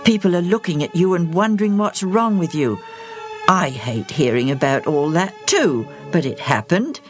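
A woman voicing a sensible young boy who speaks in a calm, firm way. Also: lowish voice, normal speed, soft background music, lightly acted.

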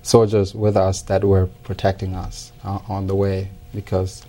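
Soft-spoken man from South Sudan, halting. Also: deep voice, monotone. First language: not English; English learned as second language. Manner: halting, many pauses and "uh" fillers, earnest. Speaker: interview guest. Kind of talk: personal testimony in interview.

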